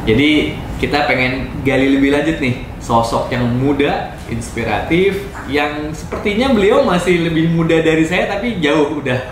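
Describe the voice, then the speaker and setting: A man hosting a casual interview at an Islamic boarding school, hesitating often with fillers. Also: fairly high pitch. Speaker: host. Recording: echoey room with noise.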